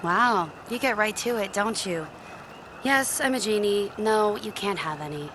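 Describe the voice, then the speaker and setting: Over clear background noise comes an actress as a sassy genie from a video game. She is aloof and sardonic, brushing people off in a mid-pitched, flippant voice. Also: a little slow, close to an everyday voice. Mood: dismissive. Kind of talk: scripted video game dialogue.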